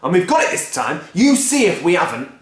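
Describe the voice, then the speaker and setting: A reader voicing an eager, impatient father who talks loudly and pushes others along, in an echoey room. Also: medium pitch, huge pitch swings, brisk, voice pushed up. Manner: loud, pushy.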